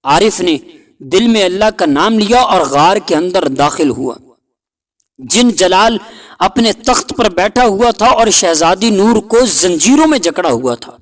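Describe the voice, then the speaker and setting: A man narrating a scripted folk tale in a deliberate, dramatic storytelling manner. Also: high-pitched, lively intonation.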